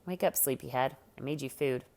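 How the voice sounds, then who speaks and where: A woman voicing a sharp-tongued but caring teenager in a read-aloud story. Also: rumbling low, fairly quick. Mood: gentle.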